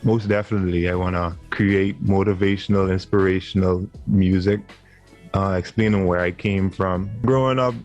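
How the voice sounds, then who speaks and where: Bahamian man in his twenties, earnest and easygoing, low voice, faint music underneath. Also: fairly even intonation, moderate pace, a little mellow, muffled recording. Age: 20s. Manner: earnest, laughs easily. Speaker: interview guest, singer. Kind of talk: casual interview chat.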